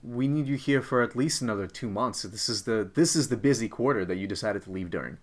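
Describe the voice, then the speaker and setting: Man playing a low-voiced hostile boss. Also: fast, natural voice. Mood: displeased, accusing. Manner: curt, accusing, threatening. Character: hostile male boss, angry at quitting employee, pressuring. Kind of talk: workplace role-play.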